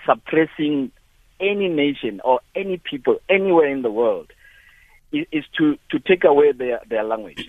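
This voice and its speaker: A man's voice: medium pitch, dry recording. A South African man calling in to a radio talk show, speaking with passion and often repeating and restarting his words. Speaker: caller. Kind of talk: call-in radio comment. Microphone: phone. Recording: tinny phone line.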